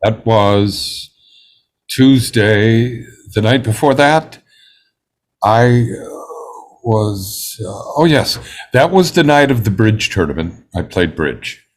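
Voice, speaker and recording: A composed, self-assured juror in a stage drama, acted by a man. The voice sits fairly low, and the words come out precise and deliberate. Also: animated. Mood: hesitant recollection. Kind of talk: amateur table read of a stage drama.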